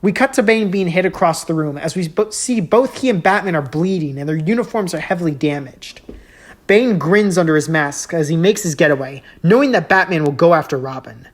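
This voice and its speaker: A woman's voice: fairly low pitch, animated, fairly quick. A woman reading a scripted story as a storyteller, in dramatic narration. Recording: music laid under the voice. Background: music.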